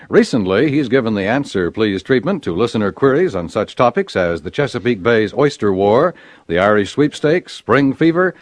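An animated male American announcer with big swings in pitch and a dry, close sound. His delivery is polished and emphatic, like scripted fifties network radio. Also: dry recording. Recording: dry 1950s radio broadcast.

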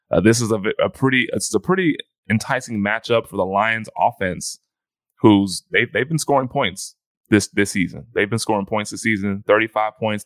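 A man's voice: on the low side, a bit bright, dry recording. A man co-hosting a fantasy football podcast in casual chat, often restarting his phrases.

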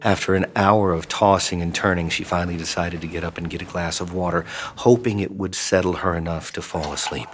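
An actor narrating a horror audio drama in a measured, grave and ominous manner. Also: male voice, sits low, fairly even intonation, slight background noise, natural voice. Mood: ominous, hushed. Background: music.

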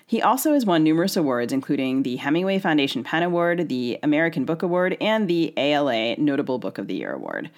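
A woman's voice: low voice, very animated, quick pace, few pauses. A woman co-hosting a podcast, moving between a scripted introduction and light banter. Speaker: podcast co-host.

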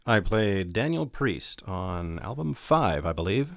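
A middle-aged man, a guest writer, reminiscing casually and haltingly as he thinks aloud. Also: deep voice, sing-song, gravelly, dry recording, clean studio sound.

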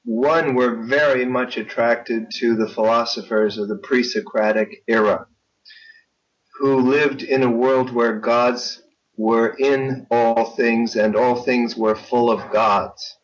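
A slow-speaking man with a mid-range voice, heard through slightly muffled, lo-fi sound. He talks as an author being interviewed, reflective, formal and erudite in his phrasing. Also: mid-range voice, steady tone. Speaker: guest author. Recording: dull lo-fi call sound.

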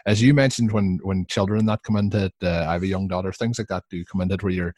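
A man with a deep voice talks as a podcast host about sport. His delivery is conversational and rambles a little, and the sound is dry and close. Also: fairly even intonation, fast, a little mellow, no echo. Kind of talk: casual sports chat.

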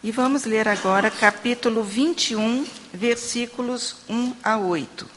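A woman interpreting a sermon into Portuguese and reading scripture aloud in a steady, measured way. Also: a little high. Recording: long hall reverb with faint room noise.

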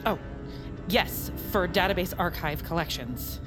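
A woman playing an anxious, hesitant archivist in an audio drama, flustered and halting. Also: fairly low pitch, lively intonation, music in the background. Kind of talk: audio fiction acting.

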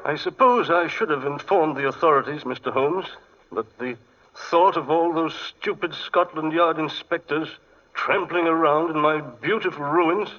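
An actor playing an excitable, fussy English gentleman archaeologist in 1940s radio style, often hesitant, with an English accent. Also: fairly high pitch, moderate pace. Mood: apologetic. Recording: muffled 1940s radio broadcast.

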